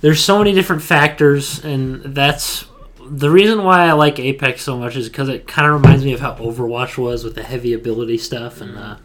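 A man's voice: medium pitch, a little fast, background noise. A man co-hosting a podcast, chatting about games in an informal, conversational way. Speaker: podcast co-host. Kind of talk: casual gaming chat.

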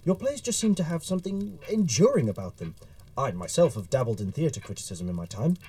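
A man acting in an audio drama as an earnest, dry, slightly awkward male Victorian writer, speaking in a formal, measured, wry way. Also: a little high, sing-song, warm tone, no room sound. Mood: sheepish.

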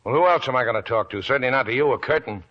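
An actor playing a greedy, paranoid young prospector who snarls and mutters to himself, in an old radio play. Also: male voice, medium pitch, rapid-fire, slightly rough, natural voice. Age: young adult. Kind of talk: 1940s radio acting. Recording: dull lo-fi old radio recording.

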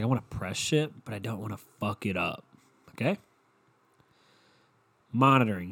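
A man talking casually and unsurely to himself while puzzling over recording software, half reading menu text aloud and muttering questions. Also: mid-range voice, breathy edge.

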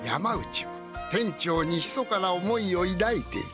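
A woman narrating a light radio comedy in a measured, presentational scripted style. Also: on the low side, animated, over music.

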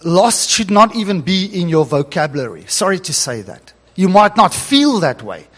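A man's voice: high-pitched, big pitch swings, normal speed, a bit bright. A male pastor whose first language is Afrikaans, telling a story in an earnest sermon that builds to emphatic preaching.

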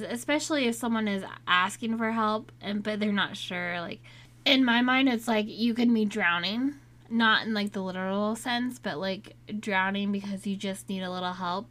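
A woman in a casual solo talk, still getting over a cold, so her voice is a little raspy at times; she speaks in a chatty, candid way. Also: mid-range voice, a bit bright. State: recovering from a cold, slightly raspy. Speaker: podcast host.

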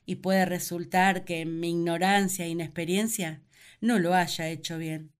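An actress playing a devoted, thoughtful wife in a radio play, speaking in an earnest, reflective, measured way. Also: female voice, lowish voice, steady tone, smooth, lightly acted. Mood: modest, reflective. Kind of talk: radio play monologue, dramatized diary.

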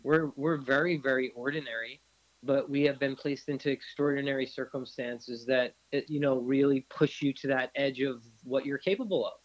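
Older man guest, reflective and halting, mid pitch, casual interview. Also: steady tone, low-quality recording. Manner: reflective, halting with many restarts. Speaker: podcast guest.

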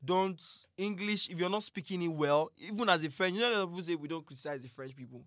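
A young man with a Nigerian accent in crude, animated casual chat, laughing often. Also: high-pitched, fast, no echo, studio quality.

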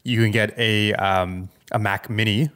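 A man's voice: on the low side, fairly even intonation, a little slow, a bit bright, smooth. A man co-hosting a casual tech podcast, thinking aloud in a tentative, conversational way. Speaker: podcast co-host.

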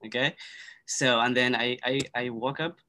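A man's voice: mid-range voice, steady tone, normal speed, bright, forceful tone. Man, native Somali speaker, earnest, thoughtful, casual podcast talk. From Kenya. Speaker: podcast guest. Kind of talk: casual conversation.

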